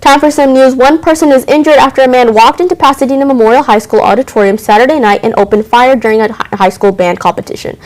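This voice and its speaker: A teenage girl anchoring a school news show, reading announcements briskly with occasional stumbles. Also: a little high, a little mellow, low-quality recording. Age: teens.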